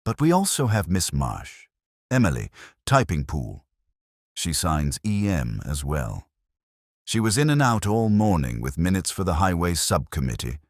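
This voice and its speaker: A man's voice: deep voice, huge pitch swings, a little slow, no room sound, clean studio sound, heavily acted. A man voicing a thin, nervous male records clerk in a storybook mystery, hesitant and fussy. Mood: hesitant, informative.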